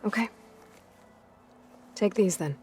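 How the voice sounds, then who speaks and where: A woman's voice: medium pitch, slow pace, a little mellow, a little hiss or hum, close to an everyday voice. A young woman playing a determined but burdened hero in a video game, with an earnest, guarded manner. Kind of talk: naturalistic video game acting.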